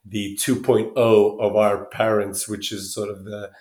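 A man in his thirties from Sweden speaking reflectively as an interview guest, often pausing and restarting as he searches for words. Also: lowish voice, level tone, a little mellow. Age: 30s.

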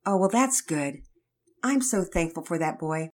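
A woman's voice: on the low side, lively intonation, measured pace. Missus Hicks, a woman playing a wry, doubtful mother. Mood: friendly.

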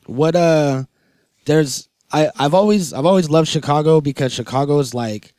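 A man's voice: fairly high pitch, moderate pace, a little mellow, dry recording, clean studio sound. A man hosting a podcast, chatting in a rambling, slangy and enthusiastic way. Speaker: podcast host. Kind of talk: casual podcast interview chat.

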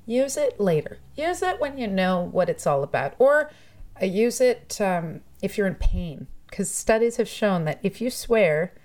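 A woman's voice: medium pitch, big pitch swings, a little slow, a little mellow. A woman from Toronto, a musician, talking in a casual interview in a thoughtful, chatty way and laughing often. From Toronto, Canada. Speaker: musician and guest.

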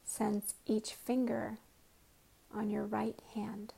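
Woman meditation guide, soft and often whispered, gravelly, flat, unhurried. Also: medium pitch, poor audio.

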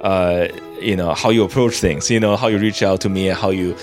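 Deep-voiced young man from China. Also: fairly even intonation, darkish tone, faint music behind. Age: young adult. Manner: halting, many restarts and fillers. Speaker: interview guest. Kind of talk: casual interview talk.

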